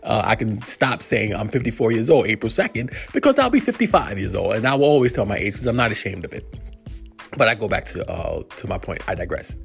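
A man in his fifties, from New York, gives a solo sports talk. His voice sits low with a gravelly edge. He rambles, circling back with fillers and restarts, and faint music plays underneath. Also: very animated, fairly quick. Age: 50s. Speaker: podcast host. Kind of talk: solo sports commentary monologue.

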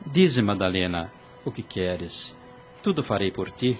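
A man's voice: fairly low pitch, lively intonation, unhurried. An actor playing a devoted, brooding younger man in a period radio drama, with a theatrical, formal, intense delivery. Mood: tender, reassuring. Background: background music.

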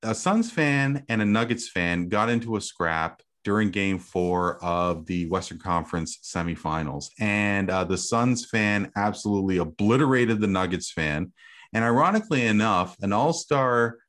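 A man's voice: on the low side, moderate pace, fairly bright tone. A man hosting a casual sports talk show, rambling with many fillers and laughing easily. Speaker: host.

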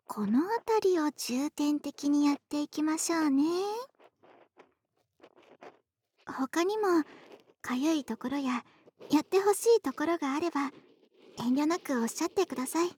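A voice actress playing a polite, doting young woman next door in an intimate, soft-spoken ASMR roleplay. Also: sits high, natural voice. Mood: soothing, doting. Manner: soft-spoken, courteous, polite speech, affectionate. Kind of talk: Japanese ASMR situation voice drama, intimate roleplay. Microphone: close studio microphone, dry.

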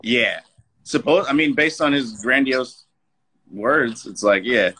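Husky, bright-edged man chatting casually. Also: medium pitch, animated. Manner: slangy, agreeable, quick to chuckle. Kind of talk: casual comedic chat.

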